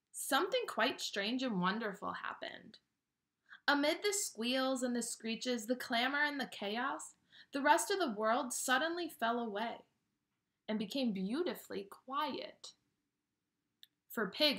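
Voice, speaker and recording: Woman, children's story reader, higher-pitched voice, very unhurried pace, expressive dramatic delivery. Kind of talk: read-aloud of a picture book.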